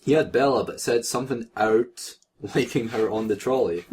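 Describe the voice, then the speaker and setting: A man's voice: mid-range voice, normal speed, darkish tone. A man reading fan fiction aloud for comedy, breaking off into sarcastic, sweary, giggly banter. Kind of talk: mocking comedic read-aloud with casual banter.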